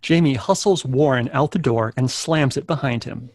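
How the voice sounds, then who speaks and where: A man's voice: medium pitch, moderate pace, darkish tone, no echo. A man acting as narrator, reading scripted stage directions for a table read.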